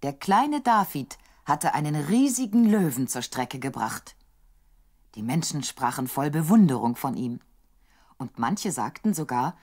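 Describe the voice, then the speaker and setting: A woman narrating a Bible audio drama as scripted, measured storytelling. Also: sits low, a little mellow.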